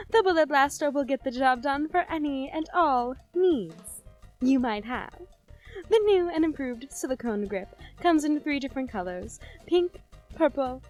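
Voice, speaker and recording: An actress playing a suggestive, salesy announcer in a parody radio advert within an audio drama. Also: female voice, high-pitched. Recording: music bed under the voice.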